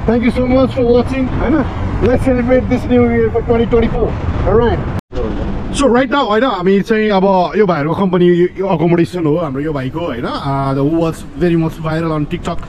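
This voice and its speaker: A man from Nepal with a Nepali accent, vlogging on a city street at night, switching fast between English and Nepali and tagging many questions. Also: sits high, fairly even intonation, noisy background. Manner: rapid mix of English and Nepali, frequent tag questions. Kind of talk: casual vlog talk to camera.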